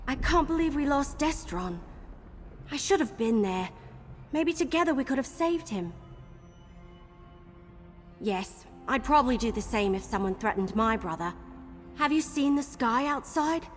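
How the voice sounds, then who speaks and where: An actress playing an earnest, worried adventurer companion in a video game, speaking expressively. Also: female voice, sits high, lively intonation, unhurried, pauses often. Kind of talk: video game character acting. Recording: reverberant hall, game music behind.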